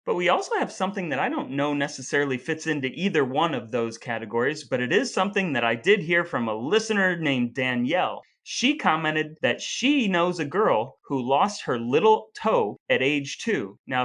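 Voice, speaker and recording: A man's voice: medium pitch, fairly bright tone. A man co-hosting a casual podcast chat with his brother, playful and fond of wordplay. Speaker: podcast co-host.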